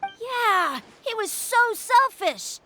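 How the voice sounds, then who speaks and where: A woman voicing an excitable, blunt young child in a video game. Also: extremely high pitch, slow, heavy background noise, exaggerated voice. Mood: indignant. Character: plain-spoken young child, indignant, excitable friend. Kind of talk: video game character acting.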